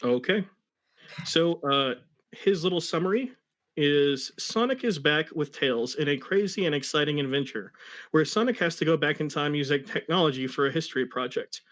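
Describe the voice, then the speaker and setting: A man with a slightly high voice, speaking casually as the reader on a livestream. He introduces and presents material in a conversational way. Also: lively intonation, moderate pace, a bit bright. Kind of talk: casual chat and dramatic reading.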